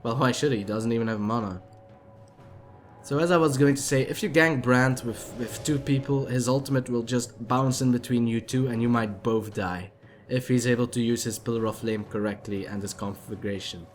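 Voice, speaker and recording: A tipsy man with a Dutch accent casually commentating a video game match, chatty and rambling, with sudden excited outbursts. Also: mid-range voice, moderate pace, faint music behind.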